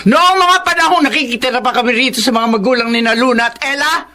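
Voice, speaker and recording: An actress playing a protective, plain-spoken middle-aged aunt, emphatic, in a Filipino radio drama. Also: female voice, on the high side, fast talker, pushed and bright. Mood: indignant.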